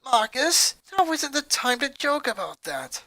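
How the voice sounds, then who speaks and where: Woman voicing caring mother, anxious, raised pitch, story character, dry close sound. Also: sing-song, measured pace, bright, forceful tone, dry recording, full character voice. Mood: firm. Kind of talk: fan fiction character voice.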